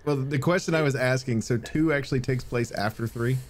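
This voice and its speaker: A man's voice: medium pitch, fairly quick. A man chatting casually about video games as a co-host on an online video call. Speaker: podcast co-host. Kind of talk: casual video game chat.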